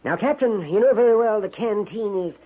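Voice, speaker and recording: A man playing a timid, nervous frontier storekeeper in a radio drama, stammering, dithering and clearing his throat. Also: sits high, a little fast. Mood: flustered, nervous. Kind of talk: 1950s radio character acting. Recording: tinny, narrow-band old radio recording.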